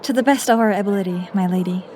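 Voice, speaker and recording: A woman's voice: mid-range voice, quick pace, warm tone, close to an everyday voice. A woman playing a courteous, guarded young woman in an audio drama, speaking formally and a little hesitantly. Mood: politely deferential. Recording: music and crowd murmur behind.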